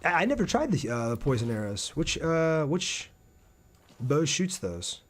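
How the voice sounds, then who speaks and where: A man's voice: fairly high pitch, very animated, a little slow. A man giving casual live gameplay commentary as a game streamer, talking to his viewers as he plays.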